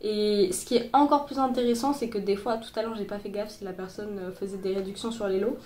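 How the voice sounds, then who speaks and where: A woman's voice: mid-range voice, fairly even intonation, fairly quick, dark tone, echoey room. A young woman chatting casually in a sewing tutorial, full of fillers and restarts, a little stuffy from a cold, recorded on a phone. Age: young adult. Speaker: sewing vlogger. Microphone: boyfriend's phone.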